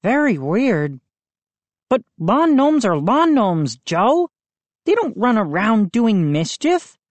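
Woman doin a freaked-out kid, high n loud, bouncy pitch. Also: big pitch swings, takes it slowly, no echo, heavily acted. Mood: agitated. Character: excitable boy, narrator's friend.

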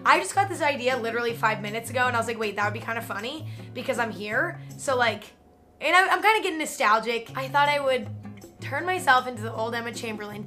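A woman's voice: high voice, pushed and bright. A young woman from Northern California, chatting casually and self-mockingly to camera as a vlogger in a hotel room, with lots of "like" fillers. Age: young adult. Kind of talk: casual vlog chat.

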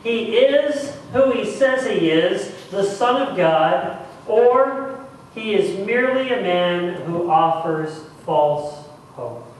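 Middle-aged man as pastor, deliberate sermon with repetition for emphasis, very reverberant church, distant mic. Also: high voice, animated. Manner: deliberate, dramatic pauses and repeated phrases for emphasis. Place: church sanctuary, speaking at the front. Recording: echoey church, distant mic, faint noise.

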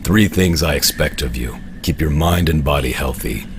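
A man's voice: a bass voice, normal speed, noisy background. An actor playing a stern, grim middle-aged vigilante father, terse and commanding in a comic-book dub. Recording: loud music behind. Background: dramatic music.

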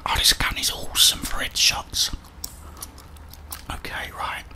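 A middle-aged man with a British accent whispers his way through a video game in ASMR style. He thinks aloud in a soft, breathy murmur, close to the microphone, as if muttering to himself. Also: measured pace. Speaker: gaming streamer.

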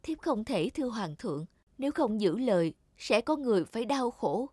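A woman voicing a gentle, secretive young queen with a soft, deferential, formal manner. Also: on the high side, very animated, moderate pace, slightly rough, no echo. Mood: sorrowful, resolute.

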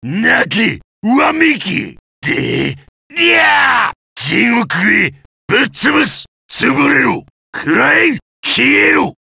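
Casting: sadistic giant golem brute for a fighting game, harsh forceful shouting, coarse menacing taunts. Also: male voice, very high-pitched, sing-song, drawn-out words, no echo, clean studio sound, heavily acted. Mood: savage shouting. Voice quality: gravelly, rough roar. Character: brutal, sadistic giant golem warrior with a bullying temper. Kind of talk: video game battle voice lines.

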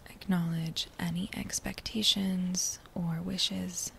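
A woman guiding a body scan meditation in a soft, breathy near-whisper, with a gentle, soothing manner and unhurried phrases. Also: lowish voice, level tone. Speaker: meditation guide. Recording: faint background noise.

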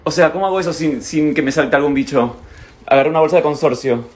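A man's voice: fairly high pitch, fast, echoey room. A man in his thirties with an Argentine accent, chatting casually to his followers in a dramatic, exclamatory way and often repeating himself. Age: 30s. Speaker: social media vlogger. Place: indoors at home, mostly a kitchen. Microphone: phone, at varying short distance. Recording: noisy handheld phone recording.

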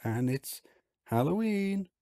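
A man with a hoarse, croaky voice from illness, chatting casually as he talks through a theme park game. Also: high-pitched, slow pace, dry recording, studio quality. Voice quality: husky, croaky. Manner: relaxed, chatty, explains as he plays. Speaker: game video host.

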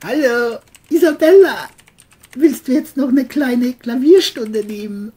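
A man voicing a crazed, murderous man in a crime audio drama, coaxing his victim in a drawn-out, mock-friendly singsong. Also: very high-pitched, dark tone, pitched above the performer's own voice. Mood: gleeful mockery.

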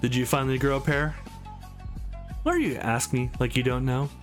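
A man's voice: mid-range voice, fairly even intonation, brisk, soft background music, close to an everyday voice. A man voicing a brash young female sparring partner in a visual novel, blunt and goading. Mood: cocky. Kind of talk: visual novel dialogue read aloud.

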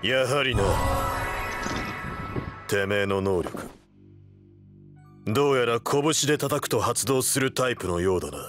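A man voicing a stoic, tough young delinquent hero in a Japanese video game, terse and rough-spoken with cool defiance. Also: medium pitch, animated, unhurried, pauses often, fairly bright tone, soft background music. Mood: cool realization.

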